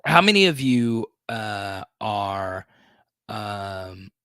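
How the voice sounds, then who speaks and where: A man's voice: on the low side, sing-song, drawn-out words, a bit bright, clean tone, no room sound, studio quality. A man in his thirties hosting a sports podcast livestream, chatting casually as he reads viewer comments, laughing often and tripping over restarts. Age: 30s.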